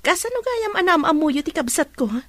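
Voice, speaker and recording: A friendly young nurse with a teasing streak. Her voice is high and recorded very dry. She speaks radio drama dialogue with an Ilocano Filipino accent. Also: big pitch swings, brisk, fairly bright tone, no room sound, heavily acted.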